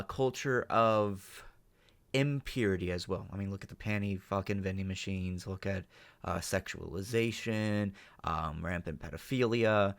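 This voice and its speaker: A man hosting a reaction video, giving off-the-cuff analytical commentary with emphatic check-ins. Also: fairly low pitch.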